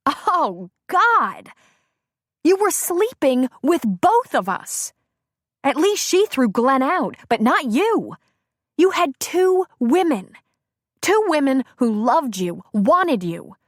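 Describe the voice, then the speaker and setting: A woman reading an audiobook, voicing a bitter betrayed wife who scorns and shouts at her cheating ex-husband. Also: very animated, normal speed, no room sound, clean studio sound. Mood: scornful. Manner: scornful, shouting, raised pitch.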